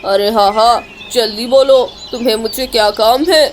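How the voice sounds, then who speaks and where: A woman's voice: fairly high pitch, moderate pace, slight background noise, natural voice. A woman voicing an emphatic talking character in a jungle cartoon story, speaking in exclamations. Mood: brisk. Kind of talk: Hindi cartoon story dialogue.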